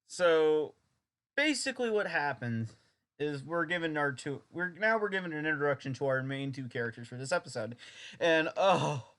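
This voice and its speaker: A man's voice: on the high side, lively intonation, normal speed, fairly bright tone. A young man reviewing an old anime dub in a casual, sarcastic, rambling way.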